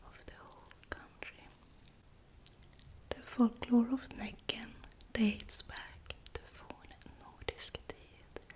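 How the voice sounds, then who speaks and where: A woman from Sweden with a Swedish accent, whispering slow, careful folklore narration for an ASMR video, drifting nearer and farther from the mic. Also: a little high, dark tone. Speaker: ASMR creator.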